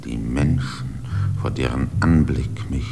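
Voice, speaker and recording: A deep, gravelly mountain spirit. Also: male voice, noisy background, heavily acted. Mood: brooding whisper. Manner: slow, grave, partly whispered. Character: ancient brooding mountain spirit, male, hostile to humans. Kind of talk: radio play acting. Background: music.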